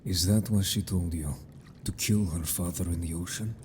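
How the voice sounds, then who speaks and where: A man's voice: low voice, moderate pace, darkish tone, a little hiss or hum, close to an everyday voice. A man playing a stern but caring, protective merman in a fantasy audio drama, speaking firmly and formally. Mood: probing. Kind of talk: scripted audio drama acting.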